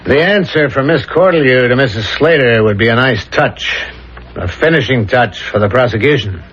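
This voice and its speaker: An actor playing a methodical police inspector, matter-of-fact and pointed, in 1970s radio drama style. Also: male voice, medium pitch, expressive, normal speed, fairly bright tone, slight background noise, close to an everyday voice. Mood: accusing, assured. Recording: dull old radio recording.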